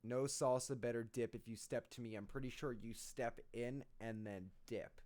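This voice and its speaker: A man's voice: mid-range voice, fairly even intonation, normal speed, a little mellow. A man hosting a rap reaction, casually explaining lyrics line by line with lots of fillers. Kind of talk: casual lyric breakdown commentary.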